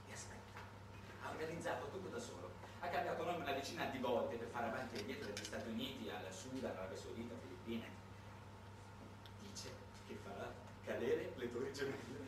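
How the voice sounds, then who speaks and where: A man's voice: mid-range voice, background noise. A man narrating a satirical theater monologue as a solo stage actor, in a dramatic, declamatory way. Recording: very echoey, distant stage recording with music.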